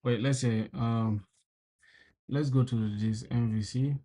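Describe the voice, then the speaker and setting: A young man from South Sudan streams himself coding, talking loosely to his viewers. He swears freely and vents when things break, then turns friendly and calls people bro. His pitch sits in an ordinary middle range for a man. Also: steady tone, slow, dark tone. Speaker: programming livestreamer. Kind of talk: casual live coding stream.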